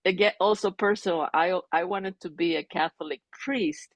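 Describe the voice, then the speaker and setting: A woman's voice: fairly low pitch, moderate pace, no echo. A woman whose first language is Spanish, a Zen priest asking a question, searching for words with many fillers. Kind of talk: remote Q&A question.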